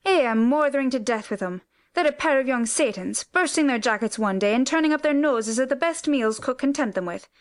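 A woman's voice: sits high, animated, brisk, few pauses, voice pushed up. A woman voicing an indignant, fussy middle-aged housekeeper who complains at length.

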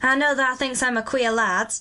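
A woman's voice: high-pitched, fairly even intonation, normal speed, pushed and bright, voice pushed up. A woman reading an audiobook voices a cheerful, kindly country boy with a broad Yorkshire dialect and a hearty manner.

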